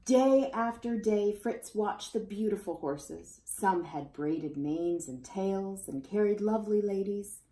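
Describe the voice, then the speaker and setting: A woman reads a children's story aloud. Her pitch moves in an animated, expressive way as she addresses young listeners. The sound is echoey, as from a room. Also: slow pace, hardly any pauses. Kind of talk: expressive children's picture-book read-aloud. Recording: roomy, echoey indoor sound.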